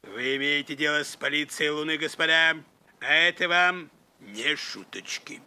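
A man voicing a gloating, mocking police officer in a cartoon, theatrical and gravelly. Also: a little high, moderate pace, bright, forceful tone. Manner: theatrical, chuckling at own jokes. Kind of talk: animated film acting.

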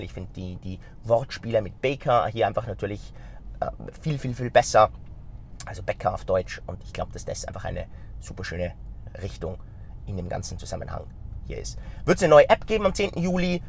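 A middle-aged man with a slight stuffy cold, talking straight to camera from inside a car, energetic and gesturing as he goes. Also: medium pitch, breathy edge. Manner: energetic, gesturing with his hands, talks straight to the viewer. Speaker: vlogger and company founder. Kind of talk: casual talk to camera. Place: inside a car in city traffic. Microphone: phone camera mounted in the car.

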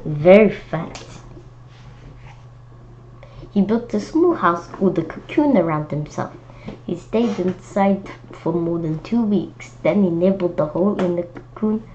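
A woman reading a children's storybook aloud, adding simple, childlike, chatty comments as she goes. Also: mid-range voice. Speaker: children's book reader. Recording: dull lo-fi home recording with noticeable noise.

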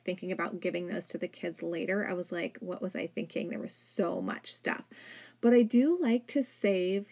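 A woman's voice: mid-range voice, vocal fry, dark tone. A woman in her thirties, a home vlogger, narrating casually over her cleaning footage, chatty and full of "like". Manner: chatty, filler-heavy with frequent "like". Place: home, indoors.

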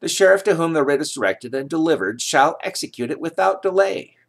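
A man in his sixties reading formal legal text aloud, enunciating numbers and citations deliberately. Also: on the high side, animated, gravelly. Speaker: reader of his own legal reference book. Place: home study lined with bookshelves, indoors.

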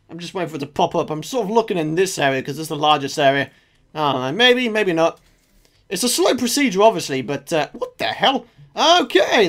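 A man's voice: extremely high pitch, a bit bright. A man with a sore throat commenting casually on his own gameplay, chatty and self-mocking, muttering asides to the game. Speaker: gaming channel host. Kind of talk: casual let's play commentary.

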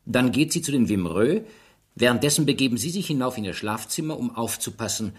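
A man's voice: medium pitch, lively intonation, brisk, natural voice. Man acting a persistent police inspector, swinging intonation. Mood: methodical, sceptical. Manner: probing, pressing, methodical questioning. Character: persistent, sharp male police inspector interrogating suspects. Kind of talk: radio drama acting.

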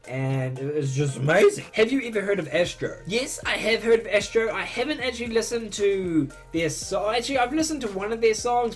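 Young male vlogger, 18, slightly high voice, eager and bouncy, casual bedroom Q&A, faint music bed. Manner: excitable, swings up high when enthusing. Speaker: YouTuber answering fan questions. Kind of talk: casual Q&A vlog. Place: bedroom, indoors.

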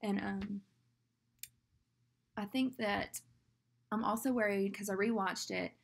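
A woman's voice: mid-range voice, fairly even intonation, takes it slowly, gravelly, a little hiss or hum. A young woman with a Southern US accent talks casually and ramblingly to her laptop camera from a small room. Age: young adult. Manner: chatty, rambling, fillers like "like". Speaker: vlogger.

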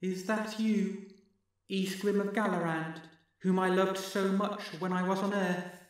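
Brief: man voicing a storybook fox, sly, raised and hushed, slow and eerie. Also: high voice, flat delivery, very echoey, recorded from a distance, voice pushed up. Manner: soft, slow, singsong. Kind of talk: storybook reading.

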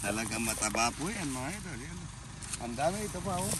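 A man's voice: a little high, very animated, brisk. A middle-aged man with a Kapampangan accent touring his farm outdoors in a casual vlog, chatting to viewers as he walks. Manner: chatty, keeps calling viewers idol. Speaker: vlogger showing his farm. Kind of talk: casual walk-and-talk vlog. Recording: loud outdoor noise.

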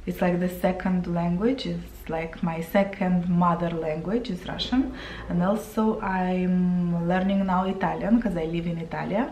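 A woman in her thirties from Moldova, speaking English with a Romanian accent, chatting to camera while painting her face, often pausing for words. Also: lowish voice, fairly even intonation, warm tone, roomy sound, a little hiss or hum. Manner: chatty, searching for words, with fillers. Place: small room indoors.

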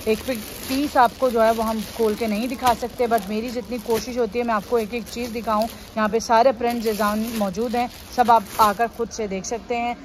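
A woman's voice: a little high, steady tone, fairly quick, hardly any pauses. A woman vlogger, walking through a clothing warehouse and talking upbeat, repeating fillers as she shows the stock. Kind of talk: shopping vlog walkthrough. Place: large busy clothing warehouse indoors. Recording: noisy store ambience.

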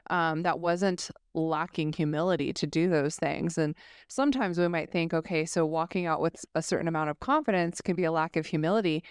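Woman, middle-aged, casual faith chat, rambling with fillers, very clear voice, dry close sound. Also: low voice, brisk, no echo. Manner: rambling, many fillers and restarts, laughs easily. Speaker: women's study co-host.

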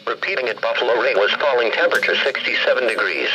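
A man's voice: medium pitch, expressive, fast, sharp tone. A synthesized male announcer reading a scripted weather report impersonally over weather radio. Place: played through a handheld radio indoors. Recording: muffled, noisy weather radio broadcast.

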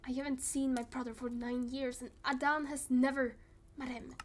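A woman's voice: high voice, flat delivery, moderate pace, a little hiss or hum, close to an everyday voice. A woman voicing an anxious, protective man in an amateur game roleplay, earnest and hesitant. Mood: pleading. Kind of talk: amateur Minecraft roleplay voice acting.

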